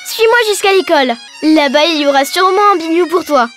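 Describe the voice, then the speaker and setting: High-pitched, cheerful cartoon girl. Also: fairly quick, a bit bright. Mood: bright, explanatory. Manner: explanatory, encouraging. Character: cheerful young Breton girl teaching her alien friend. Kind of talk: scripted educational cartoon dialogue. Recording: music behind the voice.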